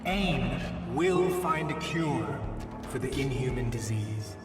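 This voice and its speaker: Echoing, solemn scientist giving a speech. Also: male voice, medium pitch, lively intonation. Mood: solemn resolve. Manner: deliberate, earnest, grave. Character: solemn, self-assured male scientist giving public speech. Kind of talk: public address, video game acting. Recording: distant, heavily echoing PA speech, loud music.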